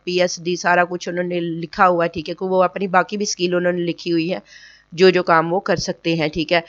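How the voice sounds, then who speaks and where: Woman, tutorial host, hoarse throat, flat and patient, lo-fi dry mic. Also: lowish voice, no room sound. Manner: keeps checking in with "okay?" and repeats points patiently. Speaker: online earning tutorial host. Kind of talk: casual screen tutorial.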